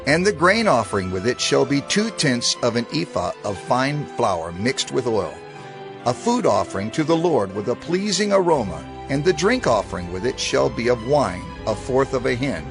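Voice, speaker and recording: A man narrates scripture in a deliberate, declamatory style. His mid-pitched voice moves through lively inflections, solemn throughout, with music clearly playing behind him. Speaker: scripture reader.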